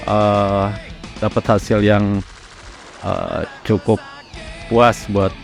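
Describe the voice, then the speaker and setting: A man from Indonesia, a rock singer, casually recalling his career in an interview in a halting, reflective way. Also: on the low side, flat delivery, vocal fry, soft background music. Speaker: rock vocalist being interviewed. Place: studio workroom.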